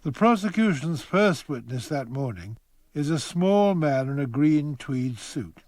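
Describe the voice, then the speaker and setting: A man's voice: on the high side, lively intonation, unhurried, darkish tone, no room sound. A man narrating a true crime radio drama as a storyteller, in scripted present-tense prose. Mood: measured. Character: male storyteller introducing a true crime trial.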